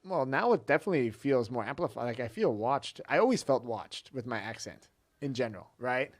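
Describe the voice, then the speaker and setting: A man co-hosts a relaxed podcast chat. His voice sits at an everyday middle pitch. He talks in loose, rambling sentences full of fillers and quick laughs. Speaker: podcast co-host. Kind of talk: casual chat.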